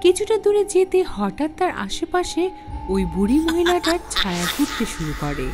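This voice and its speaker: Casting: female narrator for horror tales, high-pitched and dramatic, with clear music behind her. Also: sing-song, moderate pace. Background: background music.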